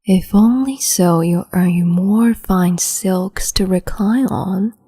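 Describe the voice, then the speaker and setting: A woman's voice: mid-range voice, takes it slowly, mellow. A woman playing a cruel, capricious empress in an ASMR roleplay, talking in a teasing, condescending, doting way and often whispering. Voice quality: breathy, frequent whispering. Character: cruel capricious female empress, young adult.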